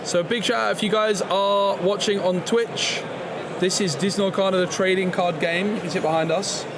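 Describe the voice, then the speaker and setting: A man's voice: sits high, steady tone, moderate pace. A man hosting a casual live card pack-opening stream at a busy gaming convention, playful and jokey. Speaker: streamer and card channel host. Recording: loud crowd noise of a busy event.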